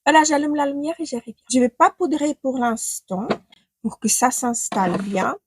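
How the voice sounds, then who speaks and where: A woman's voice: high-pitched, normal speed, heavy background noise. A woman from Ukraine who speaks with a Ukrainian accent and whose first language is not the one she speaks. She chats casually to camera as a beauty vlogger, hesitating and thinking aloud while she applies makeup at home. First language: not French. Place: home room with daylight.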